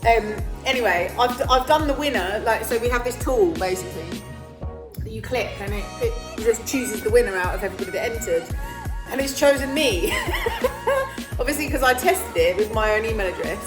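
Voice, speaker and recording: A woman with a British accent, chatting casually and self-mockingly to her phone camera, laughing often, her voice a little croaky and sleepy. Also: fairly high pitch, big pitch swings, normal speed, over music. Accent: British English. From England. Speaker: vlogger. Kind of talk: casual vlog chat.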